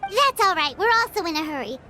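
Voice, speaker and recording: A woman voicing a small, excitable companion character in a game, with a high, squeaky voice. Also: brisk, loud music behind the voice. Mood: eager. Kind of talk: game dialogue.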